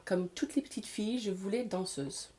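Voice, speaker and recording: A woman's voice: medium pitch, a little fast, warm tone, slight background noise. A woman in her forties from Washington State, an English teacher and actress, telling her story in a casual interview with many hesitations. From Washington State, USA. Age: 40s.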